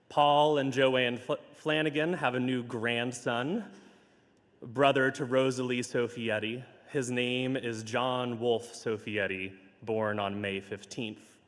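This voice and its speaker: A hoarse man serving as minister, reading pastoral care notes and leading a prayer in a slow, measured, gentle way, recorded for a church livestream. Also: fairly low pitch. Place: large church sanctuary. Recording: livestream with long hall reverb.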